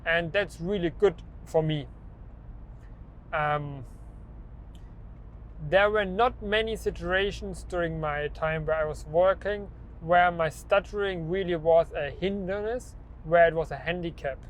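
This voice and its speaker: A man's voice: on the high side, a little slow, slight background noise, low-quality recording. A young man who stutters, with repeated words and restarts, talking about his own experience in a personal vlog. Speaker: vlogger.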